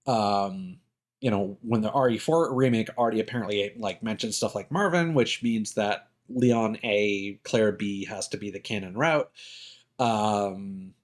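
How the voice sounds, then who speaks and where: A man's voice: fairly low pitch, a little slow. A man giving casual, rambling and opinionated commentary as a game livestreamer. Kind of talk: casual gaming stream commentary. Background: music.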